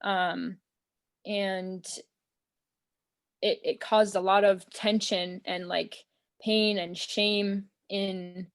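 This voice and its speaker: A young American woman on a video call, talking in a relaxed, earnest way and filling her speech with "um" and "like". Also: medium pitch, drawn-out words, pauses often. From United States. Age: young adult. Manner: earnest, thoughtful, many fillers like "um" and "like". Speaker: interview guest. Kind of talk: casual conversation. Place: small room at home, indoors.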